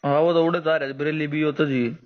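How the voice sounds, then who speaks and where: A man's voice: on the high side, little pitch movement, a little fast. A man with a Haryanvi accent in a casual phone conversation, talking deferentially and often agreeing respectfully. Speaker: citizen, job applicant on a phone call. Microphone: phone call recording. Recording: dull lo-fi recorded phone call.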